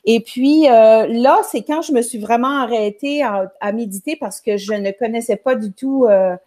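Chatty Québécoise woman, bright higher voice. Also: moderate pace. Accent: Québécois French. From Quebec. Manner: chatty, rambling, many restarts. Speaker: guest, music teacher. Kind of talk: casual storytelling on a live show.

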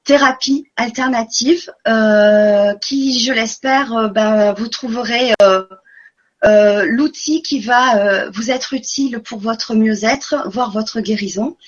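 A woman's voice: a little high, slow, dull audio. A woman hosting a live online conference, speaking earnestly and repeating words for emphasis. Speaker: webinar host.

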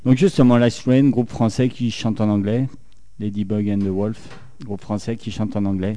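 Low, warm-voiced male radio host. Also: fairly even intonation, normal speed. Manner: chatty, enthusiastic, stumbling questions. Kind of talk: casual radio interview.

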